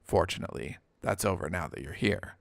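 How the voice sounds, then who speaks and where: A man voicing a courteous male estate lawyer with a formal, polite and measured manner in an audiobook. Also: sits low, gravelly, no room sound, studio quality. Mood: apologetic. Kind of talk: audiobook character dialogue.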